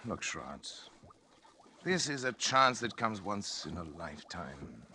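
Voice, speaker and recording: A man acting in an old-time radio suspense drama as an obsessed surgeon-scientist, with a brisk, authoritative, intense manner. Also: lowish voice, sing-song, bright, forceful tone, a little hiss or hum, exaggerated voice. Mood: cold, resolute. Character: obsessed, commanding male surgeon-scientist, a father. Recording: vintage radio broadcast recording.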